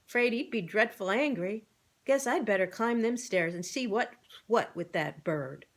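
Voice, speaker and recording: A woman's voice: medium pitch, animated, measured pace. A woman voicing a mild male farm horse in a children's story, with folksy, hesitant rustic speech. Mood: hesitant, fretful.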